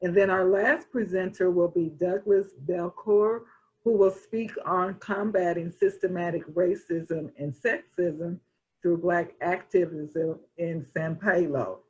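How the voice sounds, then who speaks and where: A woman's voice: fairly low pitch, fairly even intonation, unhurried, a little mellow, husky, dull audio. A woman who manages a student program, formally welcoming everyone and announcing the webinar series schedule. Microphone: video call.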